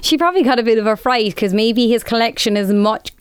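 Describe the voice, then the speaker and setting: A woman's voice: fairly high pitch, fast, clear, resonant tone, dry recording, clean studio sound. A young woman co-hosting a podcast, chatting casually and laughing easily. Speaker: podcast co-host. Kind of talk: casual chat.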